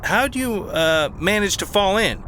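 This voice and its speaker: A woman's voice: medium pitch, lively intonation, unhurried, a bit bright, slight background noise. A woman playing a plain-spoken, folksy night watch keeper in a comedy radio drama, a little hesitant. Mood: concerned.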